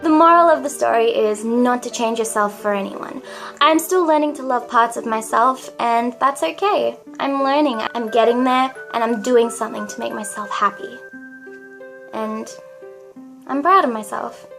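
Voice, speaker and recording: A young woman vlogging straight to camera in a very high, cute, girlish voice. She talks in a confessional, dramatic way and keeps poking fun at herself. Soft music plays faintly in the background. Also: measured pace, gravelly. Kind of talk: confessional vlog monologue.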